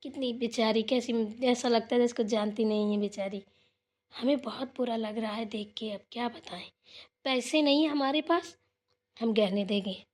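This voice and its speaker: A high female voice of an actress plays a generous elder sister-in-law in a village cartoon. She speaks earnestly and reassuringly, persuading in a homely, gentle way. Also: moderate pace, natural voice. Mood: earnest, sympathetic. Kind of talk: Hindi family moral cartoon dialogue.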